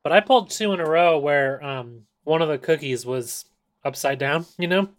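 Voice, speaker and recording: A co-host talks casually over snacks, playful and a little theatrical. The voice sounds slightly hoarse and laughs come easily between lines. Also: mid-range voice, moderate pace. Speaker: co-host of a snack review show. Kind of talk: casual chat.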